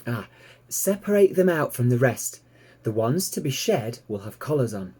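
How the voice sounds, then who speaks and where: A patient, motherly female sheepdog from a children's storybook, played by a man. The voice is kindly and knowing, a little raised and lively, explaining things gently. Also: medium pitch, normal speed, slightly rough.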